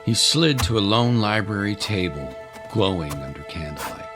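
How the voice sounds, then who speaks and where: A man's voice: fairly low pitch, animated, normal speed, over music. A man reading scripted story narration as the narrator of a drama.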